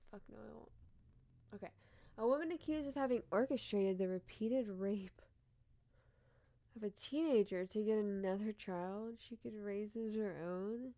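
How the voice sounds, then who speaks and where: Casual young woman with a deep voice. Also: measured pace, warm tone. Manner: blunt, swears, laughs often, comments wryly on headlines. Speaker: streamer reading odd news. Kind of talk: casual live-stream chat.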